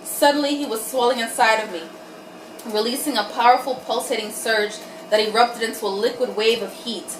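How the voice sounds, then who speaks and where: Woman, tipsy comic reader, highish voice, irreverent delivery with asides. Roomy recording with faint noise. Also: fairly even intonation, normal speed. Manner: irreverent, giggly, tipsy, breaks off to comment. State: tipsy from wine. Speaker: reader and host of a comic group reading. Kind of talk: dramatic reading of erotic fiction with joking asides. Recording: roomy, distant mic, faint background noise.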